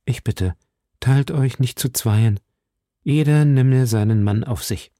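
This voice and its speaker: A man voicing a composed, dry-witted musketeer leader in an audiobook, speaking calmly and deliberately with an indifferent air. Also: mid-range voice, no room sound, natural voice. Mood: commanding.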